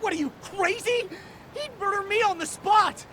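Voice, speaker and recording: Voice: very high-pitched, normal speed, sharp tone, a little hiss or hum. An actor playing a frantic, pleading captive lackey in a dramatic video game cutscene. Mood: frantic fear.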